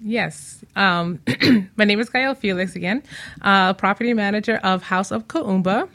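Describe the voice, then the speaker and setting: A woman's voice: medium pitch, unhurried, a bit bright. A woman guest on a radio show, speaking casually about herself and her work. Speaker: guest, property manager. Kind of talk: casual interview introduction.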